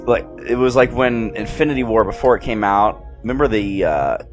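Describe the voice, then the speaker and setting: Low-voiced man, film music behind. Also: moderate pace. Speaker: movie commentary host. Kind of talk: casual film reaction commentary.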